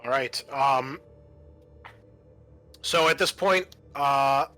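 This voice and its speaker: Bright-voiced man running a tabletop game. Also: medium pitch, extremely slow, soft background music. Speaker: game master and host. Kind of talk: improvised tabletop game narration.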